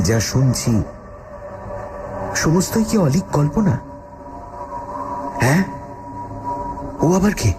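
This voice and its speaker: A man playing a frightened first-person narrator in a dramatic horror story, telling of his haunted-house ordeal. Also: medium pitch. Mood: fearful, tense. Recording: loud eerie music and effects behind.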